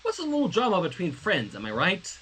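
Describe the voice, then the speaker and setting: A man's voice: high-pitched, wildly swooping pitch, fairly quick, fairly bright tone, breathy edge, full character voice. A man voicing an awkward, evasive teenage girl in a story, hesitant and nervously casual.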